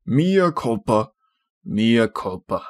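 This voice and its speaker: A man's voice: mid-range voice, big pitch swings, slow pace. A man voicing a melodramatic, pompous middle-aged father from a storybook, emphatic and sarcastic in his delivery. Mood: mournful self-reproach.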